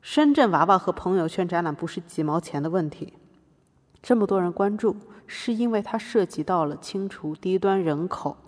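A woman's voice: on the low side, expressive, normal speed, darkish tone. A woman playing an earnest, guilt-ridden, defiant activist artist arguing her own defence in a podcast courtroom drama. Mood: firm, impatient. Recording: hall-like long reverb.

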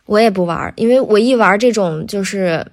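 A woman chatting casually as a guest, telling about her work as a voice actor with animated storytelling. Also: medium pitch, moderate pace. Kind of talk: casual interview chat, recounting a career.

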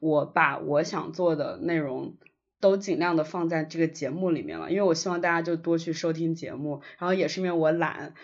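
A podcast co-host speaks at a middling pitch in casual, reflective chat. The recording is a bit dull and lo-fi. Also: lively intonation, a little fast.

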